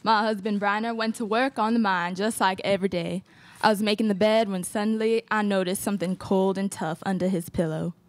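A woman's voice: medium pitch, steady tone, a little fast, no room sound, natural voice. A young woman playing an aggrieved, accusing wife in a student radio play at a close microphone. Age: young adult. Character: indignant, accusing wronged Western wife. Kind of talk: student radio theatre acting. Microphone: close stage microphone.

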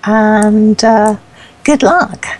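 A woman's voice: medium pitch, extremely slow, darkish tone, low-quality recording. A woman interviewer conducting a friendly event interview in a complimentary, encouraging way. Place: online voice chat in a virtual world.

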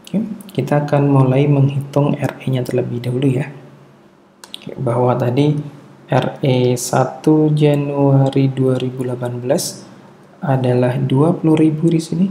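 A man narrating a step-by-step tutorial as an instructor, halting and deliberate, thinking aloud as he works. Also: mid-range voice, dark tone. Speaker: accounting instructor. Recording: roomy echo, dull muffled tone, faint noise.

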